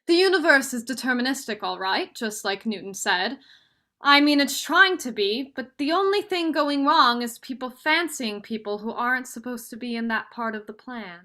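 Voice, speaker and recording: A young woman student actor playing a bright, outspoken, romantic young woman in a staged play reading. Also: fairly high pitch, normal speed. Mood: earnest theorising. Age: young adult.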